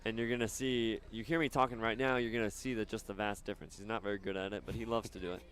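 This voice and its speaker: A man doing live soccer commentary outdoors, chatting casually and haltingly between plays. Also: on the low side, flat delivery, fairly bright tone. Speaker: sports play-by-play announcer.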